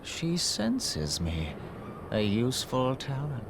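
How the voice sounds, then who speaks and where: An arrogant dark sorcerer for a game, theatrical, drawn out, swoopy delivery, noisy background. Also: male voice, mid-range voice, huge pitch swings, slow, vocal fry, full character voice. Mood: sinister disdain. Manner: theatrical, condescending, archaic phrasing. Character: mocking, arrogant male immortal dark sorcerer villain. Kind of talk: theatrical video game voice acting.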